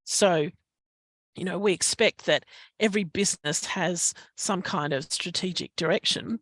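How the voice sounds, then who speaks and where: An Australian woman giving advice in an online Q&A, hesitant with many restarts, her voice going hoarse. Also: lowish voice, measured pace, a bit bright, no room sound. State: losing her voice, coughing. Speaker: guest speaker on climate reporting. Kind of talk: online webinar Q&A.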